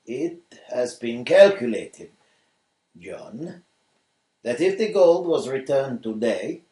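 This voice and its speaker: An earnest, formal president in a radio play, voiced by a man with a medium-pitched voice. He sounds sincere and measured, and the recording has a roomy echo. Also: big pitch swings, dark tone, far from the mic. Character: earnest head of a newly independent state.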